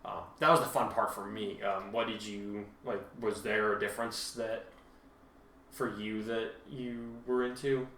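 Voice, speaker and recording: A man hosting a casual chat about his own writing, halting and rambling as he repeats himself. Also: on the low side, fairly even intonation, bright, forceful tone, far from the mic, a little hiss or hum. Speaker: podcast host and fan fiction author. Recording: roomy, echoing home recording.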